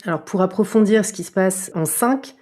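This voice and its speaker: A woman's voice: medium pitch, normal speed, warm tone. A woman hosting a podcast, welcoming listeners and introducing the panel in a friendly manner. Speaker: podcast host.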